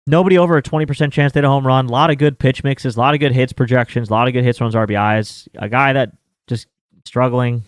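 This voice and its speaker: A man's voice: medium pitch, fairly even intonation, fast, no room sound. A man around thirty hosting a sports betting show, talking in a rambling, casual way with many fillers and some mild swearing. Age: 30s. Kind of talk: casual chat.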